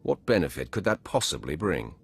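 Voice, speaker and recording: An actor playing a cold, commanding Sith lord in a video game, speaking in a curt, imperious way. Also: male voice, extremely low pitch, big pitch swings, a little fast, husky, exaggerated voice. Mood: dry.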